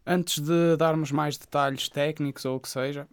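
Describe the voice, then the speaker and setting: A man's voice: a little high, fairly even intonation, normal speed, clear, resonant tone, no room sound, clean studio sound. A man from Portugal with a European Portuguese accent, co-hosting a casual tech news podcast and reading items with chatty asides. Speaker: podcast co-host.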